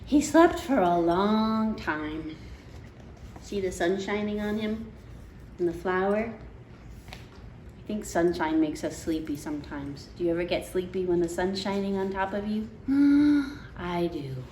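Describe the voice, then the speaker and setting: A woman reads a children's picture book at an unhurried pace, with very lively, swooping intonation. She talks directly to young listeners and puts questions to them. The room is echoey, with a little background noise. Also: mid-range voice. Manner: expressive, deliberate, asking listeners questions. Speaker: storytime host reading a picture book to children. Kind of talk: read-aloud storytelling with asides to child listeners.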